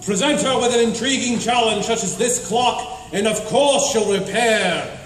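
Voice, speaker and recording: Man playing a high-pitched pompous hero in echoey hall. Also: moderate pace, lightly acted. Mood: ominous, theatrical. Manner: pompous, theatrical declaiming. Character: grandiose self-styled hero, cheerfully fatalistic male adventurer. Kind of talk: comic radio drama acting. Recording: echoey hall stage, distant, faint noise.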